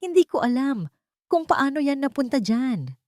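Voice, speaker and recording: A woman's voice: high-pitched, big pitch swings, normal speed, darkish tone, dry recording, clean studio sound, voice pushed up. A woman voicing a humble, wronged cleaning woman in a story, tearful and pleading, with a Filipino accent. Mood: tearful denial.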